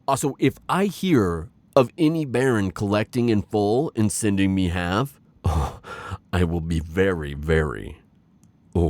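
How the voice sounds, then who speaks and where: A man performs a dark warlord villain in a very deep voice that swings widely in pitch and takes its time. He is theatrical and commanding, yet playful and besotted, with lingering pauses in an intimate roleplay audio style. The sound is close and very dry. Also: dry recording. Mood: imperious, smug.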